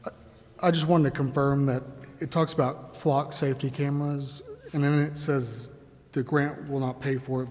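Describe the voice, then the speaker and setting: A man's voice: mid-range voice, a little mellow. Tentative man in a reverberant room. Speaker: council member. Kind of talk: questioning in a meeting. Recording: reverberant council chamber, faint noise.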